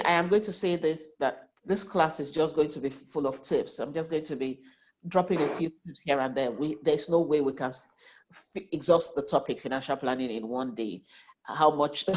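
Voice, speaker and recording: A woman in her forties speaks in a low register, her voice nasal from a heavy cold. She teaches like a financial planner, earnest, often repeating and restarting words as she explains. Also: a little mellow, poor audio. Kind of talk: online class, giving tips.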